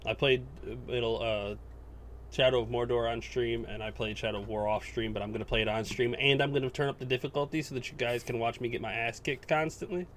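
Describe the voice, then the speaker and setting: Male podcast co-host, mid-pitched voice, casual gamer chat, excitable, rambling, loose with swearing. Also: brisk, slight background noise. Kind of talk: casual chat about video games.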